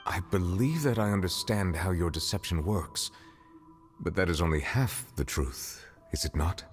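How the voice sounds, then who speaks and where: A man acting as a courtly chief judge in a fantasy video game. His voice is low and grave, with formal, measured phrasing and a reserved compassion. Faint music sits behind him. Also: lightly acted. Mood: probing, composed.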